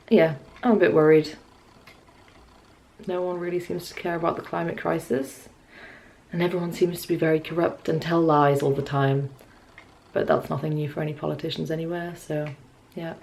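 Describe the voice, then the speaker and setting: A young woman talks casually into a camera in her room. Her voice sits on the low side and crackles with vocal fry. She muses hesitantly, with a wry edge, as if thinking out loud. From Newcastle area, north-east England. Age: 20s. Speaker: vlogger. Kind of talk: casual, rambling chat to camera. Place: small furnished bedroom at home, indoors.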